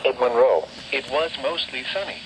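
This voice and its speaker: A man's voice: medium pitch, normal speed, a loud crowd, poor audio. A synthetic man's voice reading a formal, impersonal weather bulletin over a radio speaker. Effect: radio broadcast. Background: heavy noise.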